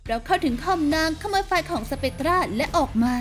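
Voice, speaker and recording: A woman voicing a bossy, sardonic young rebel leader in a video game fan dub, with a brisk, teasing delivery. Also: sits high, animated. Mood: brisk briefing. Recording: music behind the voice.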